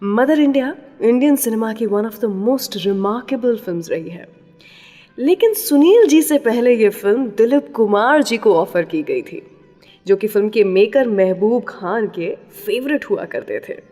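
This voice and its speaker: A woman's voice: a little high, expressive, unhurried, dark tone. A woman hosting a radio show, reading scripted narration and mixing English phrases into her talk. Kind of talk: scripted radio narration about classic films. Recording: long reverb, faint music behind.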